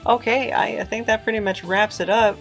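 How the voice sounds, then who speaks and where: A woman's voice: on the high side, steady tone, a little fast, faint music behind. A woman hosting a podcast, chatting casually and informally to listeners with some hesitancy. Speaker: host and podcast creator.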